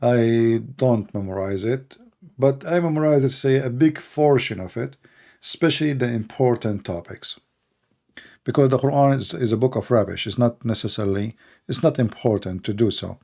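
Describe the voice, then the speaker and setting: A man's voice: mid-range voice, moderate pace, gravelly, warm tone. A middle-aged man with an Arabic accent, a native Arabic speaker, talking casually and bluntly while answering questions, with many fillers and restarts. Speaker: livestream debate host.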